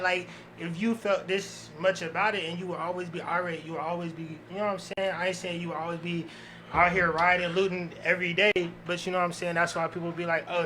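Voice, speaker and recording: A man with a high, bright voice talks casually as a podcast panelist. He is opinionated and slangy, dropping filler catchphrases as he goes. Faint noise sits in the background. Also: rough recording. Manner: slangy, filler catchphrases like you know what I'm saying. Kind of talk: casual opinionated group chat.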